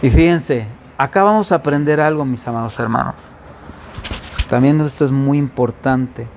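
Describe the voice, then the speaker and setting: A man's voice: medium pitch, normal speed, a little hiss or hum. A man from the Veracruz coast preaching as pastor in an informal Bible-study sermon, with a faint Veracruz accent, often asking the congregation questions. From Veracruz coast, Mexico.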